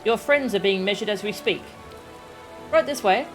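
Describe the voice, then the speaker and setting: Deferential tailor read by a woman, video game dialogue, accommodating, medium pitch, light music bed. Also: normal speed, natural voice. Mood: obliging.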